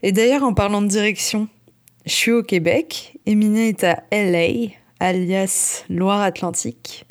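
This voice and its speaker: A woman's voice: mid-range voice, a little slow. A woman co-hosting a podcast, presenting from a script with casual asides. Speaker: podcast co-host. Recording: music playing behind the voice. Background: music.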